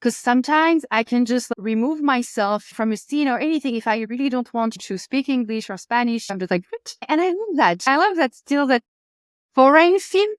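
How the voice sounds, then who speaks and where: A woman in her late thirties from France, speaking English with a French accent as a therapist guest in a casual interview, animated and expressive. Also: moderate pace, clear, resonant tone, no echo, clean studio sound. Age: 30s. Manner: expressive, rises high when enthusiastic. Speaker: guest, family constellation therapist.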